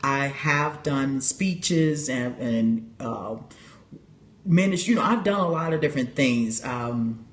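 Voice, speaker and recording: A woman hosting a casual livestream on her phone, animated and laughing often as she talks straight to her viewers. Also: rumbling low, slow. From Chicago. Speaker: livestream host. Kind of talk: casual personal talk to viewers.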